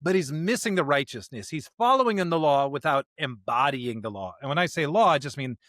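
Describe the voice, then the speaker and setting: A man co-hosting a podcast in a conversational discussion of scripture, speaking emphatically in halting bursts and often checking in with a rhetorical question. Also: fairly high pitch, lively intonation, a bit bright, dry recording, clean studio sound. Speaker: podcast co-host.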